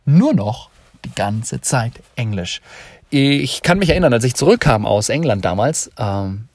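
A man's voice: mid-range voice, animated, unhurried, no echo. A young man hosting a podcast, talking freely and emphatically, repeating points to drive them home. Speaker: podcast host. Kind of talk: unscripted motivational monologue.

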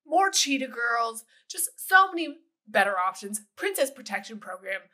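A woman hosts a casual podcast in a bright, forward voice. A slight rasp from a lingering cold runs through it. She talks frankly, in slang with plenty of swearing. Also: on the high side, very animated, normal speed. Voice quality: slightly raspy. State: recovering from a cold. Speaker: podcast host.

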